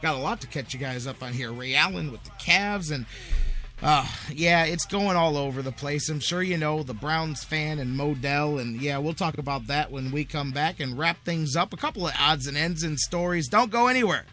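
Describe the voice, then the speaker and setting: Higher-pitched American man hosting sports radio. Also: normal speed, sharp tone. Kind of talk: sports talk and live ad reads. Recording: music bed behind the voice.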